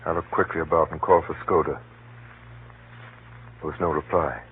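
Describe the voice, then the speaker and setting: A man acting a grave gentleman who narrates a spy plot in an urgent, deliberate, dramatic 1930s radio drama style. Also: very deep voice, steady tone, husky, full character voice. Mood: tense narration. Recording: muffled, noisy 1930s radio recording. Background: faint hiss and noise.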